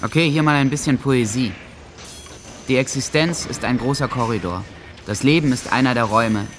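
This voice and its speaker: A man playing a brooding, alienated teenage boy, narrating dark writings intensely and deliberately in a radio drama. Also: medium pitch, music in the background, close to an everyday voice. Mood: bleak, grandiose.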